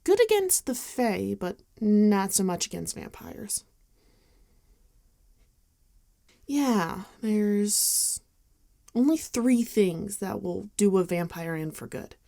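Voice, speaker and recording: Woman acting a soft, slow-spoken apologetic vampire friend. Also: medium pitch, expressive, gravelly, no echo, studio quality. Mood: gentle, casual. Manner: hesitant, gentle, halting with soft sighs. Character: apologetic, caring young female vampire, a close friend. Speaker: ASMR voice actor. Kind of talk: ASMR roleplay acting, intimate and conversational.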